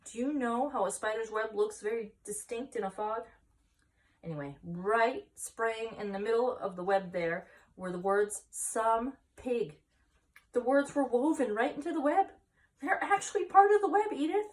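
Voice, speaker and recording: An excited farmer husband, as a woman reader acts him out in a children's storybook. He is self-important and solemnly amazed, pressing each point with wonder, and sits a little far from the mic. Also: fairly high pitch, moderate pace, voice pushed up, close to an everyday voice. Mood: awestruck, excited. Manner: solemn, emphatic, marveling.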